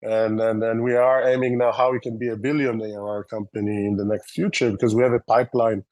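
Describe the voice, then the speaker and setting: A man's voice: lowish voice, little pitch movement, fast talker, a little mellow, dry recording. A man in his thirties with an Israeli accent, a company founder answering interview questions in a loose, rambling way with many fillers and restarts.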